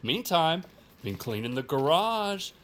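A man's voice: a little high, big pitch swings, unhurried, a bit bright. A man playing an easygoing, stalling handyman dude who talks in slang in an audio drama. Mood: breezy excuses. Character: laid-back, stalling handyman dude named Gus. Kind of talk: audio fiction acting.

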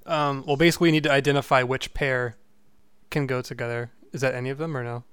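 A man's voice: medium pitch, steady tone, quick pace, a bit bright, clear, resonant tone, dry recording. A man chatting casually while working through a riddle game, thinking aloud with restarts. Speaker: co-player. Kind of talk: casual chat over a game.

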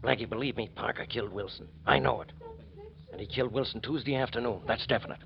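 An actor playing a blustering, self-important police inspector in 1940s radio style, bossy in manner. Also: male voice, mid-range voice, fast talker, creaky, close to an everyday voice. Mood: low, exasperated. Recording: muffled, noisy old radio recording.

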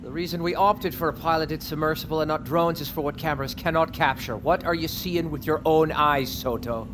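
An actor playing a stern, demanding expedition boss in an audio drama, clipped, formal and commanding. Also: male voice, a little high, steady tone, brisk, noisy background, close to an everyday voice. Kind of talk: naturalistic audio fiction acting.

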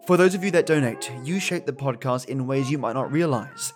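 A man's voice: medium pitch, a little fast, faint music behind. A man hosting a podcast with chatty, genteel commentary, addressing listeners affectionately. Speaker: podcast host.

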